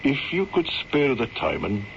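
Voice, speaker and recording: An actor playing a courteous, reassuring Scottish country doctor with a calm, deliberate manner in an old-time radio drama. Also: male voice, medium pitch, vocal fry, exaggerated voice. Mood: grave. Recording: dull old radio broadcast, some noise.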